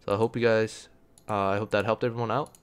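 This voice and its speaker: A man's voice: fairly low pitch, flat delivery, fast talker, smooth. A young man giving a casual tutorial as a game guide, explaining things plainly. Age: young adult. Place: indoor room, seated at computer.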